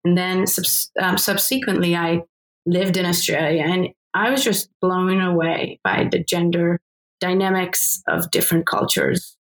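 Gravelly woman with a Hungarian accent. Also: fairly low pitch, level tone, measured pace, poor audio. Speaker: academic guest. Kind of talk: conversational personal account.

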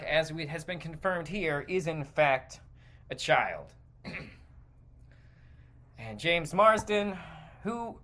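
A man hosting a podcast, delivering a rambling, sardonic and exasperated opinion rant with halting, emphatic phrasing. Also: fairly high pitch, a bit bright. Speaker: podcast host.

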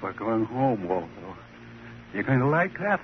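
An actor playing a troubled, tender, earnest ventriloquist in an old-time radio drama. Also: male voice, on the low side, very animated, measured pace. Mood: tearful relief. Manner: fragile, intense, earnest. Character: troubled, tender ventriloquist devoted to his dummy. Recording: muffled, hissy old radio recording.

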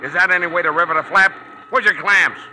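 A man's voice: fast, lightly acted. An actor playing an angry, bullying male shop foreman in a classic radio drama, barking and scolding. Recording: noisy old radio recording, machinery rumble.

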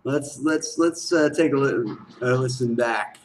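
A man's voice: mid-range voice, unhurried, a little hiss or hum, low-quality recording. A man hosting a reaction video with casual, slangy commentary, often repeating phrases for emphasis.

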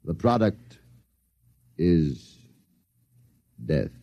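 An actor playing a suave, coldly menacing Martian invasion leader, measured and mock-polite, in a 1950s radio drama. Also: male voice, low voice, big pitch swings, mellow, no room sound, dull audio, exaggerated voice. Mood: calm menace.

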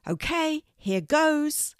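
A woman voicing an eager, excited young girl in a children's storybook reading. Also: medium pitch, measured pace, no room sound, clean studio sound, voice pushed up. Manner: bright, eager and exclaiming.

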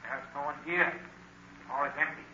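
A man's voice: a little high, fairly even intonation, normal speed, lightly acted. An actor playing a villain's coldly practical, wary henchman, questioning and conspiratorial, in 1930s radio style. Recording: noisy, dull 1930s radio recording.

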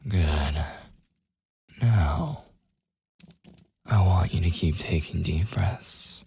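Man acting a very deep, husky brooding werewolf. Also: lively intonation, dark tone, heavily acted. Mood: protective, soothing. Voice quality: very husky, breathy, gravelly, often dropping to a whisper. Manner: slow, grudging, possessive, commanding. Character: gruff, brooding, protective male werewolf, secretly tender. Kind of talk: boyfriend ASMR roleplay, intimate scripted acting.

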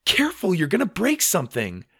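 A man's voice: sits high, huge pitch swings, moderate pace, vocal fry, fairly bright tone, slightly rough, dry recording, clean studio sound, voice pushed up, full character voice. A sensible, bossy girl from a children's storybook, played by a man. The voice is lifted well above a man's usual talk and moves a lot. She sounds cautious, scolding and insistent.

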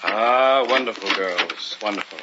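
An actor playing a confident, suave art connoisseur and skeptical friend in an old-time radio horror drama. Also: male voice, lowish voice, a little slow, natural voice. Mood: jovial. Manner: suave, assured, theatrical. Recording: muffled, noisy old radio recording.

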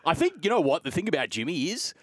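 Australian male producer on air, quick and expressive, crisp diction, casual studio chat. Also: fairly high pitch, no echo. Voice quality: crisp pronunciation. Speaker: radio producer and voiceover man. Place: radio studio.

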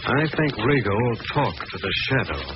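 An actor playing a calm, resolute gentleman detective in a 1940s style radio drama. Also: mid-range voice, normal speed, music in the background, close to an everyday voice. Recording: muffled, noisy old radio recording.

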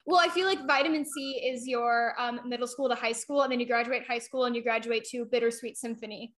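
High-voiced young woman, casual podcast co-host. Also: fairly even intonation, brisk, fairly bright tone. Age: young adult. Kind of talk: casual chat.

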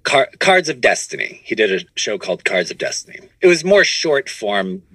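Husky man, bright low-pitched voice. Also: very animated, normal speed, no echo. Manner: rambling, self-correcting with repeated false starts. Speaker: podcast guest, improv comedian and producer. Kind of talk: casual interview chat. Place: home studio.

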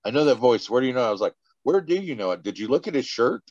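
A man's voice: medium pitch, sing-song, fast talker, a little mellow, dry recording, dull audio. A man from Texas, a guest on a podcast, talking casually and rambling with many restarts and laughs. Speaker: guest, party organizer.

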